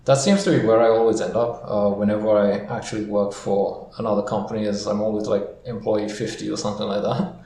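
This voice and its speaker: A man from Sri Lanka and Singapore, a podcast guest answering questions in a relaxed way with many ums. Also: on the low side, brisk, hardly any pauses. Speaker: guest, equity research founder. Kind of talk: casual interview answers. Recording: echoey room, faint noise.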